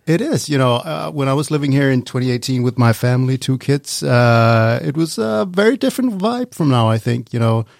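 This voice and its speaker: A man's voice: medium pitch, animated, a little fast, gravelly, hardly any pauses, a little mellow. A man from Denmark with a Danish accent, a guest author chatting with dry, self-deprecating humor. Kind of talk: casual chat.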